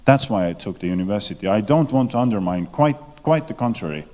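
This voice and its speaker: Hesitant low-voiced man in a reverberant hall. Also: lively intonation, fast talker, dark tone. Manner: hesitant, restarting, repeating words. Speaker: panel speaker. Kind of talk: conference panel answer. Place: conference hall. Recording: hall reverb in a large venue.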